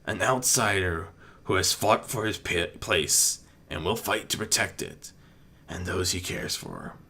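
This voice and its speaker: Man as a calm, solemn, otherworldly mentor figure. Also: sits low, husky, heavily acted. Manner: measured, solemn, deliberate.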